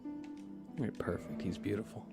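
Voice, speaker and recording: A man doing a soft-spoken ASMR live stream, chatting to viewers in a low-key way and now and then slipping into whispers. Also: measured pace. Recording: loud game music behind the voice. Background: video game music.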